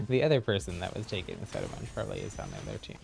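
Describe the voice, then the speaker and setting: A man's voice: lowish voice, gravelly, background noise. A man streaming a game, giving casual, easygoing explanatory commentary to viewers. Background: game music.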